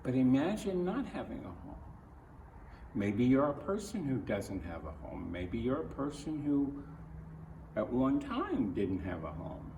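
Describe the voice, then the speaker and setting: A man from Ohio with an American accent reads a picture book aloud to children, adding his own earnest, gentle comments in halting phrases. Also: medium pitch, very animated, highs cut off. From Ohio, United States. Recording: roomy echo, distant mic, faint noise.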